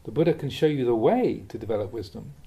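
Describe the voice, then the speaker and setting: Expressive, mellow male reader of spiritual teachings. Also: medium pitch, creaky, husky. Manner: measured, expressive, with rhetorical questions. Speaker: Buddhist monk reading a teacher's talks. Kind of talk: read-aloud Dhamma talk, conversational delivery.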